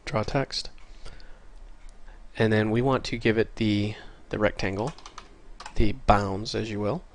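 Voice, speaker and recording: A man with a lowish, gravelly voice walks through code at his desk, sounding a bit stuffy and congested from a recent cold. He talks in an easygoing, apologetic way, thinking out loud as he types. Keyboard clicks and a faint hiss sit behind him. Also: fairly even intonation, slow. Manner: easygoing, apologetic, thinks aloud while typing. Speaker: programming tutorial host. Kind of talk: casual screen-recorded coding tutorial. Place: at a computer desk with keyboard typing.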